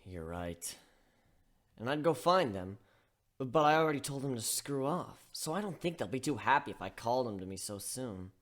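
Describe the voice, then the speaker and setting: A man's voice: medium pitch, very animated, moderate pace, a bit bright. A man playing a guarded, self-reproaching young man who confesses his faults in a dramatic story reading. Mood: resigned. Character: remorseful, emotionally guarded young male protagonist of a story.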